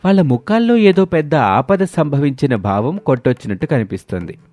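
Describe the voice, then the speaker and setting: A man's voice: on the high side, lively intonation, brisk, a little mellow, faint music behind. A man narrating a scripted moral story in a storytelling manner.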